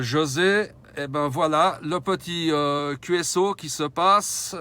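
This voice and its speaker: Clear, higher-voiced man on radio. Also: pushed and bright. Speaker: amateur CB radio operator. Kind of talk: radio contact chat with call signs and procedure words. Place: in a vehicle, mobile radio station.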